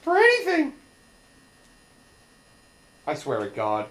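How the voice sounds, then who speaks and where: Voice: medium pitch, wildly swooping pitch, slow pace, a bit bright, reverberant, a little hiss or hum. A let's-play commentator reacting casually to cutscenes, laughing often and swearing.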